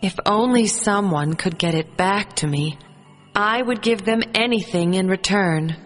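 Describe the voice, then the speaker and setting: A woman narrating a children's fairy tale as a storyteller, in a measured storybook reading. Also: mid-range voice, in a large hall.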